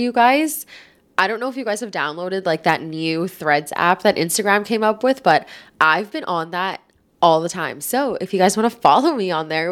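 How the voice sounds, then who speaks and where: Stuffy-nosed chatty woman in her twenties. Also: medium pitch. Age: 20s. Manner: chatty, rambling, says "like" a lot. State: stuffy nose from a cold. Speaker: podcast host. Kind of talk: casual solo podcast chat.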